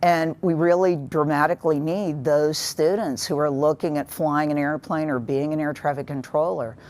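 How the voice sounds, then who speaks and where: A woman's voice: sits low, fairly even intonation, normal speed, a little mellow. A woman making a statement in an interview as an aviation professor.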